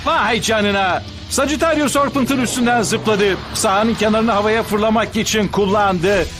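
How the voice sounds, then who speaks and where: Young cartoon spectator, high voice, astonished dramatic commentary, loud music behind. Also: male voice, unhurried. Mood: amazed. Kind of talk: dubbed anime acting.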